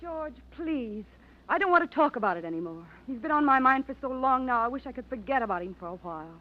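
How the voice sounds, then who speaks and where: A woman's voice: high-pitched, lively intonation, fast talker. An actress playing a worried woman waiting years for news of her missing brother, in 1940s radio style. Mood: pleading. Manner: strained, pleading, emotional. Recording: dull old radio recording.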